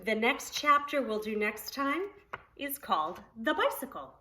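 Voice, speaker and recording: A woman's voice: fairly high pitch, big pitch swings, unhurried, bright, forceful tone, slight background noise. A woman preschool teacher reading a story aloud to young children, expressively, with simple explanatory asides.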